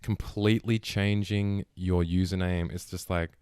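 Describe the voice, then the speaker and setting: Deep-voiced man, casual podcast chat. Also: steady tone, unhurried, darkish tone, clean tone, no room sound, studio quality. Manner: rambling, full of "like" and restarts. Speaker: podcast host.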